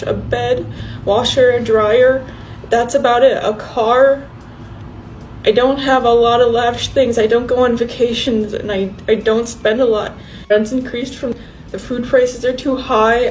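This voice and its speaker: Female, on the high side, giving personal testimony with slight noise behind. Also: darkish tone, rough recording. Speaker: struggling renter.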